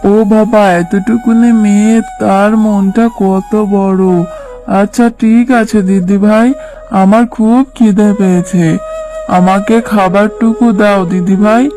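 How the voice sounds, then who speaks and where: A woman voicing a kindly old female fox beggar in a cartoon, gentle, grandmotherly and imploring. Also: mid-range voice, steady tone, unhurried, faint music behind, close to an everyday voice. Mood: tender. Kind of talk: cartoon dubbing.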